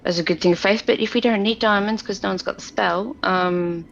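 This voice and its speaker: A woman talks casually during a tabletop game in a creaky voice. The recording is a bit muffled, with faint noise behind her. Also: mid-range voice, fairly even intonation, a little fast.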